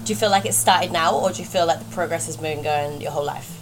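A woman's voice: deep voice, fast, noisy background. Encouraging woman asking questions. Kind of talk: casual chat.

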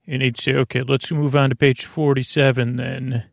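Gravelly, meandering male bedtime podcast host. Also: mid-range voice, flat delivery, dry recording, studio quality. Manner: meandering, halting, self-interrupting, tangent-prone. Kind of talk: rambling bedtime podcast monologue.

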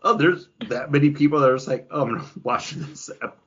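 A man's voice: mid-range voice, a little fast, breathy edge. A man hosting a podcast, steering the discussion in casual conversation. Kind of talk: casual chat. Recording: dull, lo-fi podcast audio.

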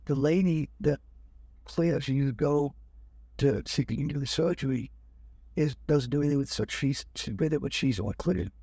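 Older male guest, husky, dry close mic, lively storyteller who acts out the other voices. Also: medium pitch, normal speed, no room sound. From United States. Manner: animated, acts out other people's lines. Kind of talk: storytelling in an interview.

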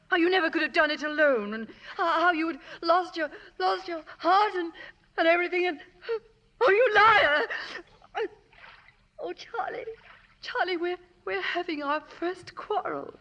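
A woman's voice: very high voice, moderate pace, pushed and bright, full character voice. An actress playing a proper, determined and devoted woman in a 1950s radio drama, earnest and emotional in her delivery. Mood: tearful. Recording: faintly noisy old radio recording.

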